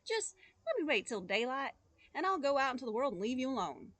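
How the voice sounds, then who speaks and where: A simple, fearless young boy from a storybook, as a woman reading aloud puts him on. He talks earnestly and openly, his voice set high and lively. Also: brisk, no echo, exaggerated voice. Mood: eager.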